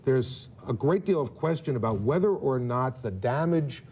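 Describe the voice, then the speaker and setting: An American man works as a live news interviewer with a dark, relaxed tone. He puts his questions haltingly and deliberately, often repeating words as he builds a sentence. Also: mid-range voice, slightly rough.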